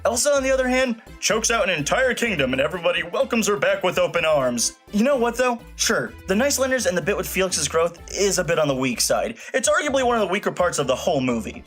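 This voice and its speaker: Snarky, higher-pitched man reviewing a film. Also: very animated, quick pace, few pauses, a bit bright, soft background music. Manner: snarky, blunt, emphatic. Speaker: video reviewer. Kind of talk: scripted comedic film review commentary.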